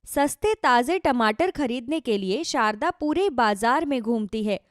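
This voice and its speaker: A woman narrator tells a scripted moral story in a high voice. The sound is dry and close, with the measured delivery of a storyteller. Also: animated, no echo.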